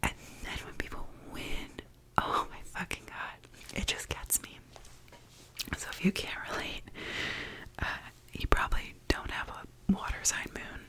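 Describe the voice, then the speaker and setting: A woman's voice: unhurried, sharp tone, rough recording. A young woman whispering in her apartment, chatting casually as she tries out makeup, with lots of "like" and the odd swear word. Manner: whispers the whole time. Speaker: ASMR vlogger. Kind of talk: whispered ASMR makeup chat.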